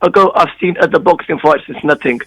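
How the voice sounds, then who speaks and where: A hoarse man calls into a radio show, his voice worn out. He talks earnestly and gratefully, leaning on fillers, in a notably high register with a very dry, close sound. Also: a little fast, sharp tone, no echo, poor audio. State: hoarse, lost voice. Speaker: caller.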